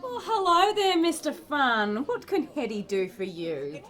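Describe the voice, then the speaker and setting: An actress playing a brazen cafe owner in an audio sitcom, putting on an exaggerated Australian accent. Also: female voice, high voice, wildly swooping pitch, unhurried, a bit bright, some chatter behind, exaggerated voice. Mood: mock welcoming. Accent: exaggerated Australian, put on. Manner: brazen, theatrical.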